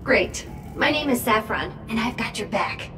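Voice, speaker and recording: A woman playing a chatty, cheeky robot drone sidekick in a video game, expressive and breezy in delivery. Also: on the high side, moderate pace, close to an everyday voice. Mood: eager, hopeful. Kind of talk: video game character acting. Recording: echoey, distant, over loud music.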